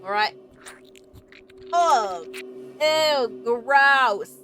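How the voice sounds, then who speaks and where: Female let's player: slightly high voice, casual and exclamatory commentary, light background noise. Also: extremely slow. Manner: expressive, exclamatory, chatty. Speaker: game streamer.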